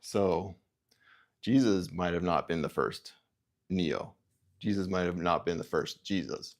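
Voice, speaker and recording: Low gravelly-voiced man musing on a livestream. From Canada. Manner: halting, self-interrupting, musing aloud; reads viewer comments aloud. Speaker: livestream host. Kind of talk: rambling livestream chat.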